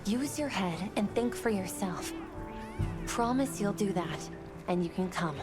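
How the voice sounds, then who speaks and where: Woman as firm game mentor, loud music. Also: mid-range voice, lively intonation, a little slow, lightly acted. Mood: firm, encouraging. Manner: firm, composed, direct. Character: firm, caring adult woman mentoring a young hunter. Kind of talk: dramatic video game cutscene acting.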